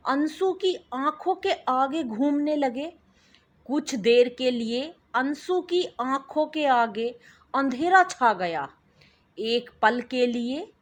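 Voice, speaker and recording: Female storyteller, high voice, very slow deliberate delivery, dramatic scripted narration. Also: a bit bright. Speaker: storyteller and channel host.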